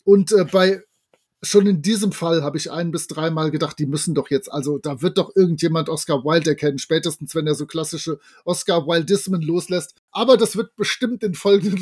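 A man with a distinctly high-pitched voice talks as a podcast co-host. He retells and discusses a story in a casual, enthusiastic and appreciative way. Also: a little fast, a little mellow, husky.

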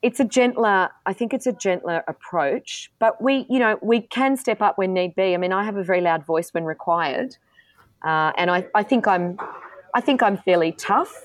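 A woman's voice: medium pitch, moderate pace, dry recording. A middle-aged woman, a politician being interviewed, who talks frankly and with self-assurance, often restarting her sentences. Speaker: politician and guest. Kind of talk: candid interview answers.

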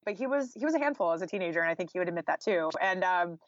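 A woman's voice: fairly low pitch, animated, racing, no room sound, lo-fi sound. An American woman talking informally and candidly as a guest author in a podcast interview, peppering her speech with "like" and "you know.".

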